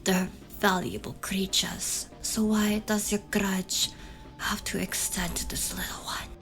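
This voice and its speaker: A protective shamaness, played by a woman, whispers breathily with gentle, pleading resolve. The words come slowly and softly, as in a fantasy ASMR story. Music sits faintly behind the whisper. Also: medium pitch, little pitch movement, creaky. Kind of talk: scripted fantasy ASMR roleplay.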